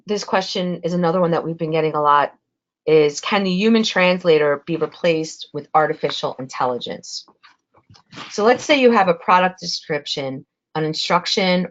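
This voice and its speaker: A woman's voice: low-pitched, highs cut off. A woman with a Spanish accent giving a webinar presentation in a conversational way, with frequent fillers.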